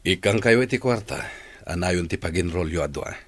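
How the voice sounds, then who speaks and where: A man playing a kindly, generous older boss with a husky voice in a radio drama. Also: low-pitched, lively intonation, moderate pace, dry recording. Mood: benevolent.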